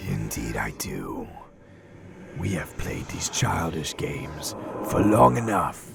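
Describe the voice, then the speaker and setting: Ancient demon lord, abyssal gravelly growl. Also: male voice, very deep voice, wildly swooping pitch, over music, heavily acted. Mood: grim resolve. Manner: slow, formal, commanding speech. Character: ancient sophisticated male demon overlord, menacing and imperious. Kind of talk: scripted audio horror drama acting.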